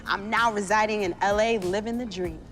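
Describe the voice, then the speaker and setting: A woman from New Jersey, an actor introducing herself with a casual shout-out to viewers. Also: mid-range voice, fairly even intonation, a little fast. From New Jersey, United States. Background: music.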